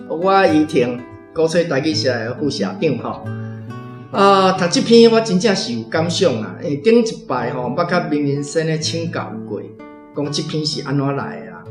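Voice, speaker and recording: Man, reading club vice-president, chatty, filler-heavy, soft guitar music behind. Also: on the high side, reverberant. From Taiwan. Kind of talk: sharing reading impressions.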